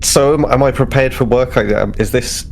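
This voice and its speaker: A man with a creaky, mid-pitched voice plays a tabletop role-playing game. He casually improvises what his character does. A faint noise runs behind him. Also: normal speed.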